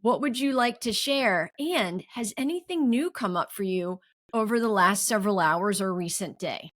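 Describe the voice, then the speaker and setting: A woman's voice: medium pitch, lively intonation, moderate pace, fairly bright tone. A woman hosting a podcast, reading a guest introduction and asking interview questions. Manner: reads a polished introduction, asks questions. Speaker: podcast host.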